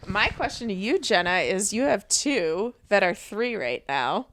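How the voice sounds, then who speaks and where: A woman co-hosting a podcast, steering the chat with measured questions. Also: mid-range voice, lively intonation, fairly bright tone. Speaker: podcast co-host. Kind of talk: interview questions.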